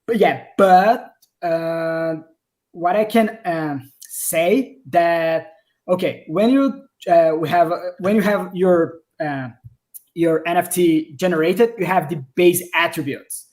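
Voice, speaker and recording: A man with a Brazilian accent, his voice a little higher than most men's, answers community questions about his game over a video call. He speaks in a hesitant way, stopping and restarting as he looks for words. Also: slow pace, darkish tone. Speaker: game developer. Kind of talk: casual livestream Q&A. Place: home office.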